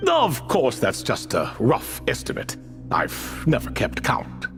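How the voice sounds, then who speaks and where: An actor playing a proud, wry game figure who speaks in a measured, dry, boastful way. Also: male voice, mid-range voice, huge pitch swings, gravelly, exaggerated voice. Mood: smug. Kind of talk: video game voice acting. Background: game music.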